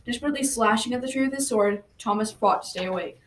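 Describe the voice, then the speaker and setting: A woman's voice: a little high, fast talker, fairly bright tone, close to an everyday voice. A young adolescent girl narrating a folktale as a grandfather in a scripted school radio drama. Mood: storytelling. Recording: faint noise, slightly distant microphone.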